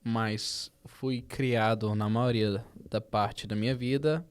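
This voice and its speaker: A young man from Brazil who grew up in the Netherlands, speaking Portuguese with a foreign-tinged Brazilian accent, chatting casually and haltingly as a podcast guest. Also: lowish voice, steady tone, smooth. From born in Brazil, raised in the Netherlands. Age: young adult. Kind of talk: casual chat.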